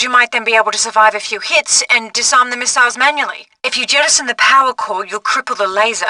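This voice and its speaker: An actress playing a capable female agent who gives a spy brisk mission guidance in a video game. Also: fairly high pitch, sharp tone, no room sound, far from the mic, low-quality recording.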